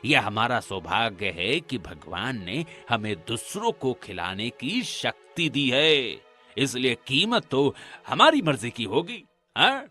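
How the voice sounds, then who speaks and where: Bright and slow-paced, this is a pompous, scheming village priest and moneylender from a cartoon, acted by a man. He is condescending and swings his pitch in a lively way, with music underneath. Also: exaggerated voice. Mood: scornful, indignant. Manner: pompous, condescending, tags questions onto sentences. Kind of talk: acted Hindi cartoon dialogue.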